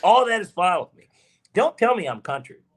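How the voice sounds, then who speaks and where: A man's voice: high voice, very animated, fairly quick. A man from Georgia with a Southern accent, co-hosting a casual sports podcast, talking emphatically and swearing freely. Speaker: podcast co-host.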